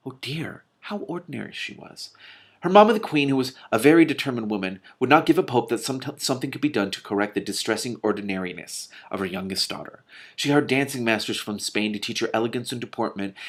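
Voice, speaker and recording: A man hosting a read-aloud, giving an expressive, emphatic storybook reading with casual asides. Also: lowish voice. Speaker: host reading a book aloud with commentary.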